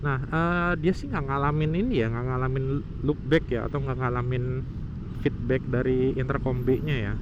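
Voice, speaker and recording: A man's voice: medium pitch, fairly even intonation, moderate pace, lo-fi sound, noisy background. A man explaining things informally to viewers in a casual motovlog, thinking aloud as he goes.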